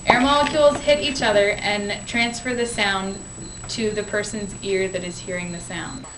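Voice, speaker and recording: A woman's voice: mid-range voice, fairly even intonation, normal speed, a bit bright. Female educational narrator, scripted read, echoey amateur recording with faint noise. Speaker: physics explainer. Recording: roomy, distant, noisy amateur recording.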